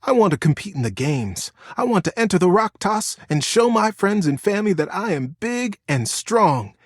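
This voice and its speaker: Tiny earnest girl sea creature for a storybook, played by a man: high, lively, eager and wholehearted, very dry close sound. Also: normal speed, no echo. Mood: earnest pleading. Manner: pleading, excitable, wholehearted. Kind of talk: storybook character voice.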